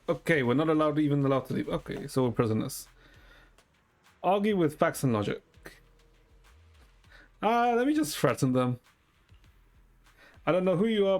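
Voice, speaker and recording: A man's voice: fairly high pitch, darkish tone. A man giving casual gameplay commentary and reading story dialogue aloud, with musing, muttered asides. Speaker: game streamer. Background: video game music.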